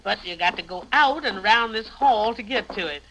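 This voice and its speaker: Folksy, lively American housekeeper. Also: female voice, mid-range voice, a little fast. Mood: cheerful, hospitable. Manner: folksy, homely speech. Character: hospitable, chatty, folksy housekeeper woman. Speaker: actress in a radio crime drama. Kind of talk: 1950 radio acting. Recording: noisy old 1950 radio recording.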